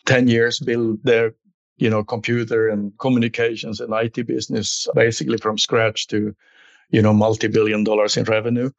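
A man's voice: lowish voice, level tone, moderate pace, gravelly, no room sound, muffled recording. A middle-aged or older man with a Swedish accent, answering conversationally as an executive interview guest.

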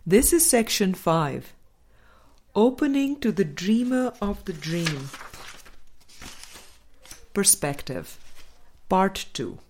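Slow, deliberate woman narrator. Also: medium pitch, a little mellow, slight background noise. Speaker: audiobook reader. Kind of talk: scripted spiritual book narration, reading a dialogue.

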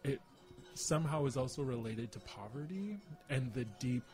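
Music plays clearly behind a man in reflective conversation. His voice is medium in pitch. He searches for his words and repeats phrases for emphasis. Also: expressive, moderate pace, dark tone.

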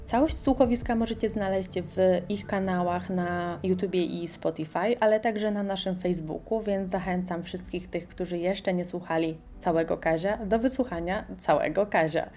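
Mellow-voiced female radio host. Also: lowish voice, normal speed, hardly any pauses, soft background music. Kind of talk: radio presenting.

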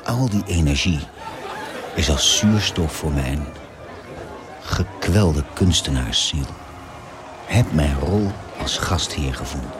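An actor playing an idealistic, tormented artistic hotel host in a theatrical radio drama monologue. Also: male voice, rumbling low, expressive, takes it slowly, long pauses, heavily acted. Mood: elated, impassioned. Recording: music and bustling crowd behind. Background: music and crowd bustle.

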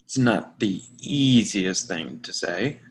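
A man playing a nervous, lovestruck guy in a play reading, speaking in a halting, earnest way. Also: low voice, animated. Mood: hesitant, evasive. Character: Sam, nervous lovestruck man confessing to a friend. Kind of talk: readers theatre play reading.

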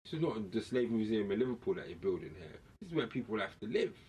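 A man's voice: fairly low pitch, big pitch swings, fast, darkish tone, a little hiss or hum. A man hosting a podcast, arguing in a blunt, slangy, casual way. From West Indian heritage. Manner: outspoken, argumentative, slangy. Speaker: podcast host. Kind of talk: casual heated discussion.

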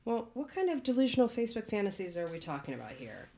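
A woman playing a frank, skeptical doctor in a comedy radio play, speaking in a deliberate, incredulous way. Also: a little high, sing-song, mellow. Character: frank, skeptical female doctor, wearily scolding her patient.

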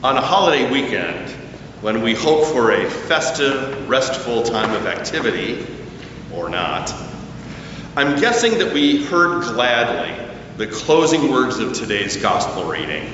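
A man's voice: medium pitch, sing-song, a little slow, background noise. A man preaching a sermon in an earnest, reflective way. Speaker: pastor. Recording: distant, echoey, muffled church recording.

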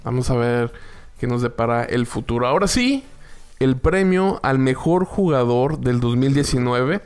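A man, co-hosting a casual sports chat in an animated, joking way. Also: mid-range voice, normal speed, smooth, faint music behind. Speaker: co-host.

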